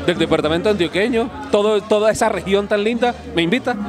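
A man's voice: sits high, quick pace. A middle-aged man interviewing a singer outdoors, friendly and affirming as he asks questions. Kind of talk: casual interview chat. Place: outdoors at a busy urban gathering. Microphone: handheld mic. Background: crowd chatter and music.

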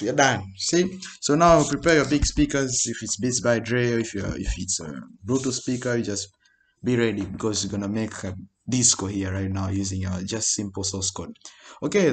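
A man giving an informal, halting screen-recorded programming tutorial as he types. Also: lowish voice. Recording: noisy home recording with keyboard clicks. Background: keyboard typing and mouse clicks.

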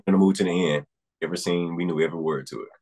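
A young man speaks as a guest on a video call from a room at home. His voice is very low with a husky grain, and he talks earnestly in loose, rambling sentences. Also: fairly even intonation, racing, a little mellow. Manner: earnest, rambling with restarts and fillers. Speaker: guest singer. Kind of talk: casual interview. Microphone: video call webcam.

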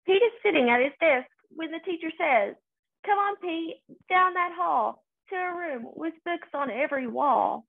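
A young adult woman reading a children's picture book aloud in a sing-song, deliberate way, recorded indoors. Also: extremely high pitch, animated, creaky. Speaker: story reader. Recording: phone-like, narrow-band sound.

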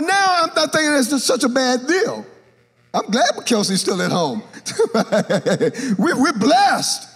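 An older man, a bishop, preaching a sermon on a church stage into a handheld mic, acting out Bible characters and joking with the congregation. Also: sits high. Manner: animated, acts out Bible characters, jokes with the congregation. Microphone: handheld microphone. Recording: long reverb of a large church hall.

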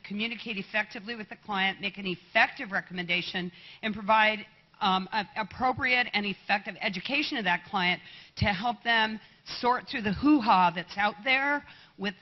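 A woman veterinarian on a conference panel, making her points in an emphatic, forceful list. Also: medium pitch. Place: conference hall stage. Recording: slightly muffled hall audio.

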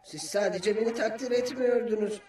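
A man's voice: sits high, moderate pace, roomy sound, recorded from a distance, music in the background, lightly acted. A man voicing a small, cheeky, stubborn wizard creature, chattering like a know-it-all in a dubbed cartoon. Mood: stubborn, smug. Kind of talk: dubbed cartoon comic sidekick acting.

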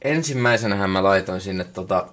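A Finnish man with a low voice walks through music software in a casual, off-the-cuff way. He talks colloquially and mutters little asides to himself as he works. He is picked up by a camera mic indoors at a computer. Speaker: music production tutorial maker. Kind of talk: casual unscripted software walkthrough. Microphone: camera microphone.

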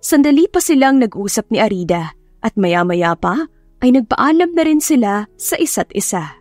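A woman's voice: medium pitch, a little mellow, no echo. A woman with a Filipino accent reading a scripted story in a dramatic narration style. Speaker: audiobook narrator. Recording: background music under narration.

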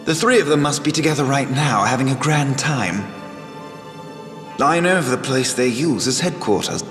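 A man's voice: medium pitch, normal speed, roomy sound, big-room sound, over music, lightly acted. A man voicing a cheeky, knowing talking frog, a cursed man, in a video game. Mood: sly.

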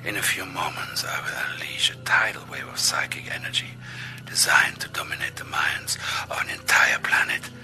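Creepy mind control villain for a game, breathy half whisper, super smug and menacing, music behind. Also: male voice, sits low, heavily acted. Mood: smug menace. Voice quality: hushed, breathy. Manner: menacing, slow, mock-courteous. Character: sinister bald male mind-control villain bent on world domination. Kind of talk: theatrical villain acting in game cutscene.

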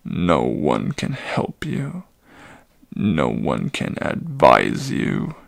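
A man voicing a very old, weary male centaur with a deep, gravelly voice, pleading slowly and heavily in a dramatic storybook reading. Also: huge pitch swings, no room sound, clean studio sound, heavily acted. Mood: urgent, weary. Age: older.

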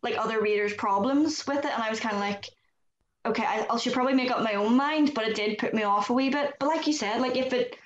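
A woman's voice: on the high side, fast, reverberant, dull audio. A young Northern Irish woman hosting a casual panel chat over a video call, chatty with lots of "like". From Northern Ireland. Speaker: host. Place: small room indoors.

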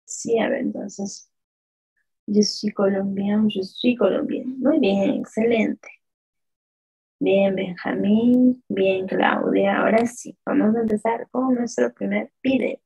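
A woman teaching a live online lesson, drawing out words slowly in pronunciation drills and praising the chat's answers. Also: medium pitch, fairly even intonation, creaky, mellow, slightly rough, rough recording. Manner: slow, drawn-out pronunciation drills; asks the chat questions and praises answers. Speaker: French teacher.

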